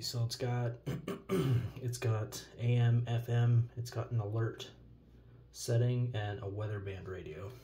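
American man, deepish voice, relaxed tone, informal product demo, noisy recording with handling clicks. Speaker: product reviewer. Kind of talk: casual product demonstration. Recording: noticeable noise, handling clicks.

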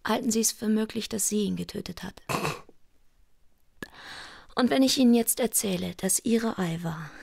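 Radio drama: shrewd secretary, heavy vocal fry, husky, knowing, closely recorded. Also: female voice, mid-range voice, normal speed, pauses often, darkish tone, no room sound, studio quality. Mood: suspicious, knowing. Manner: knowing, probing, frank. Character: shrewd, teasing female secretary of private detective. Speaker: actress in a radio crime drama.

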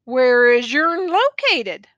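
A woman's voice: high-pitched, big pitch swings, slow pace, a bit bright, no room sound, highs cut off, exaggerated voice. A woman voicing a wounded, tattered male soldier who rambles on in a slurred, folksy dialect. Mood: rambling, fretful.

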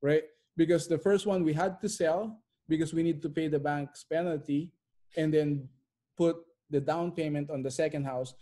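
Mellow-voiced, higher-pitched man, emphatic. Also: fairly even intonation, normal speed. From immigrant to Canada. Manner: emphatic, repeats phrases for effect. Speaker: interview guest, real estate investor. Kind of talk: conversational interview answers. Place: bright living room at home.

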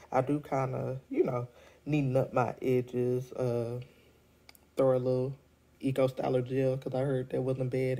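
A middle-aged woman with a deep, congested voice, chatting casually through a show review with lots of ums and laughs. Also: dark tone, breathy edge. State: congested from a cold. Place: indoors at home. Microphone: phone held at arm's length.

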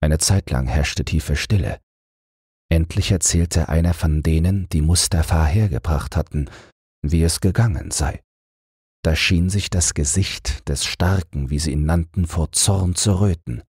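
A male audiobook narrator reads a bedtime fairy tale from a script. His voice is low and husky, and the recording is close and dry. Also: a little slow, darkish tone, no room sound.

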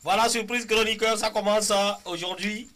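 A man hosting a radio game show, quizzing and teasing his guests in lively banter. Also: sits high, moderate pace, music in the background. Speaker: radio quiz host.

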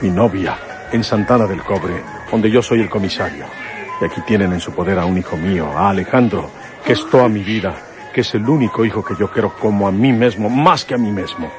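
Radio drama role: man playing low-voiced, grim Mexican lawman and devoted father, music underneath. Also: brisk, close to an everyday voice. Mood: desperate pleading.

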